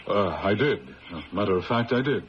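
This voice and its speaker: An actor playing a nervous, stammering male assistant in a 1970s radio drama, his pitch jumping up when he is scared. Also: expressive, moderate pace, close to an everyday voice. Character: nervous male assistant, jittery, easily cornered. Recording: lo-fi old radio broadcast with hiss.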